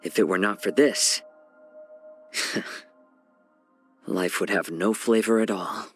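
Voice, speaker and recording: An actor voicing a genial, sly fox-man healer and chef in a video game, speaking softly and smoothly in a measured, gently teasing way. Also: fairly low pitch, faint music behind. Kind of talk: scripted game character voice lines.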